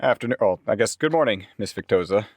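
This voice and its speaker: A man's voice: lowish voice, expressive, fast talker, no room sound. A man playing a tabletop role-playing game, methodically talking through plans in a casual, in-character way. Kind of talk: casual in-game planning and roleplay.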